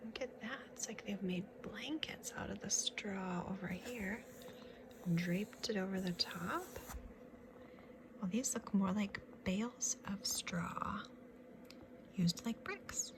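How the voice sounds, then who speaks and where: Woman reading a kids book out loud, slow n instructive, points stuff out, noisy background. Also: mid-range voice. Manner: instructive, pausing to point out pictures. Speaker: children's picture book reader. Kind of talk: read-aloud for young children with casual asides and questions.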